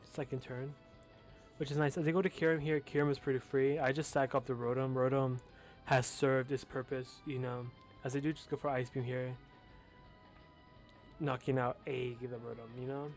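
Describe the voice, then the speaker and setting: Casting note: young guy with a noticeable lisp, relaxed and offhand, faint music behind. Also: medium pitch. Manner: casual, with fillers and swearing. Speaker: competitive game commentator. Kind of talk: casual match commentary.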